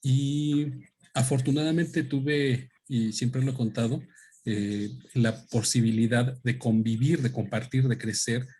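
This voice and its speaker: A man speaks into a microphone at a book presentation. His pitch is mid-range for a man, and he talks in a thoughtful, halting way, often pausing and restarting his sentences. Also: fairly even intonation, dark tone, breathy edge. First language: Spanish. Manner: thoughtful, hesitant, with many fillers and restarts. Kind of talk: unscripted talk at a book presentation.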